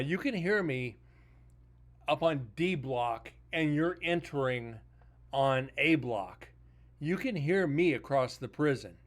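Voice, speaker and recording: A man reviewing paranormal evidence on a livestream, chatting casually in a blunt, joking way. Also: mid-range voice.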